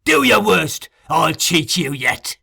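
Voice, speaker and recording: A man's voice: a little high, animated, normal speed, sharp tone, voice pushed up, full character voice. A man reading aloud, voicing a brutal, desperate male murderer who barks orders fiercely. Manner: fierce, harsh barking.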